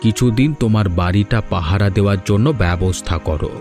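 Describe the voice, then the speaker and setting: A man's voice: fairly low pitch, brisk, a little mellow, soft background music, close to an everyday voice. A man voicing a confident village advisor in a cartoon, speaking in a didactic, reasoning way. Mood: advising.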